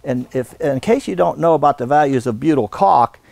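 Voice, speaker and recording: Halting, folksy older American man. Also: medium pitch, expressive, vocal fry, darkish tone. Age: 60s. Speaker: radon supply business owner and instructor. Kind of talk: unscripted instructional demonstration. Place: indoor warehouse.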